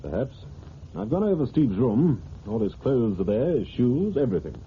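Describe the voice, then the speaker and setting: An actor playing a rational, unruffled amateur detective in an old radio drama, with a dry, quick-witted delivery. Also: male voice, mid-range voice, sing-song, lightly acted. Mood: matter-of-fact. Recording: tinny, noisy old radio recording.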